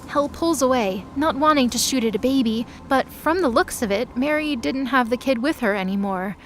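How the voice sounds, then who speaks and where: A woman's voice: sits high, normal speed, a little hiss or hum. A woman delivering dramatic scripted narration as the narrator of an audio drama.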